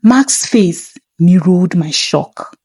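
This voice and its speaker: A woman's voice: fairly low pitch, animated, extremely slow, noisy background. A woman reading a scripted first-person story in a dramatic, suspenseful manner.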